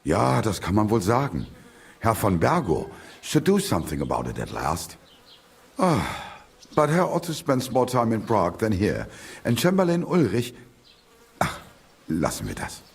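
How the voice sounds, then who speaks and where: An actor playing a world-weary village trader in a video game, with a German accent, gravelly, husky and grumbling. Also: male voice, on the low side, huge pitch swings, normal speed, full character voice. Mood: grumbling, resigned. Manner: grumbling, mixing German phrases in. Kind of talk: video game character acting.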